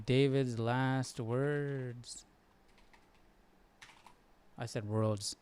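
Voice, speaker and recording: A man's voice: mid-range voice, steady tone, extremely slow, a little mellow, slight background noise. A man hosting a live Bible study, chatting informally and thinking aloud. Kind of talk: casual livestream chat.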